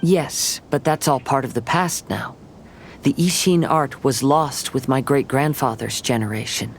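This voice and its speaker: A man playing a gentle, reserved young wandering samurai in a video game, with a soft-spoken, formal, reflective manner. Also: mid-range voice, measured pace, gravelly, slight background noise. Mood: pensive. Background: music.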